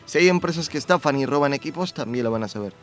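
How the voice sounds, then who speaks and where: Man, Castilian Spanish accent, radio co-host, wry rambling talk, mid pitch, faint music behind. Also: expressive, quick pace. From Spain. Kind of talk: casual radio chat.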